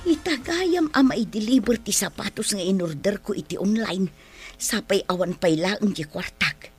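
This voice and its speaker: A woman's voice: medium pitch, animated, moderate pace, soft background music, close to an everyday voice. A woman playing a flustered, evasive village woman in a comedic drama, with an Ilocano accent and hesitant, interjection-filled speech. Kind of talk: comedic radio-style drama acting.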